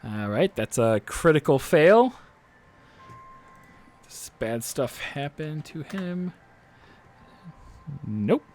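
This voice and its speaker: A man acting as game master, narrating a tabletop game off the cuff and pausing to check rules aloud. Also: on the high side, expressive, a little mellow, slight background noise.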